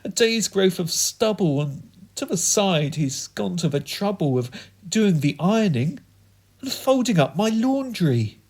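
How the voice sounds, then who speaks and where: A man performing comic spoken-word poetry in a theatrical, whimsical and deliberate manner. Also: high voice, very animated, a little mellow. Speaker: performance poet.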